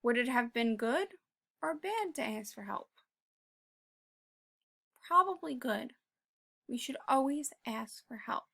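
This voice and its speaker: A woman reading a children's picture book aloud, pausing to talk to young listeners in an encouraging way and sometimes dropping to a whisper. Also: fairly high pitch, expressive, vocal fry. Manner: drops to a whisper at quiet moments. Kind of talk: read-aloud with commentary for kids.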